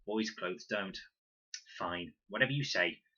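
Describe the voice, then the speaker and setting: A man's voice: low-pitched, unhurried, pushed and bright, breathy edge, lo-fi sound, heavily acted. A man voicing a defiant, prickly schoolgirl in a sarcastic, snappy manner for a children's audiobook. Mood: irritated.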